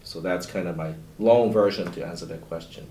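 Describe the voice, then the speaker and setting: A Cantonese-speaking man, a college instructor, talking in a relaxed, rambling way full of "you know" in a panel discussion. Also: sits low, fairly quick, a little hiss or hum. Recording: roomy echo, far from mic.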